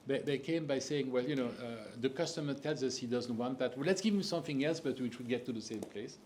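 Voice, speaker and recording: A man's voice: mid-range voice, fairly quick, darkish tone. Stumbling male panelist, faint background noise. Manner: stumbling, repeats words. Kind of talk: panel discussion.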